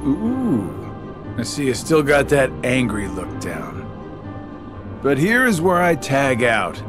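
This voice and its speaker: A man's voice: mid-range voice, wildly swooping pitch, slow. An actor playing a sly, mocking adult male villain in a game cutscene, taunting and sarcastic in a drawling way. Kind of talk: video game cutscene acting. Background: game soundtrack music.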